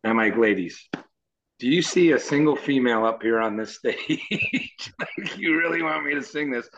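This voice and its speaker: A man with a low voice, a musician guest chatting casually in an interview, easygoing and rambling. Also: animated, normal speed, highs cut off, noisy background. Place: Nashville.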